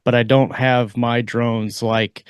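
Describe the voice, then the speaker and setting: A man on a podcast panel explaining ideas at length in a rambling way, with many pauses and fillers. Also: medium pitch, level tone, clear, resonant tone, no echo, studio quality. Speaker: podcast co-host and author. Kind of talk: casual panel discussion.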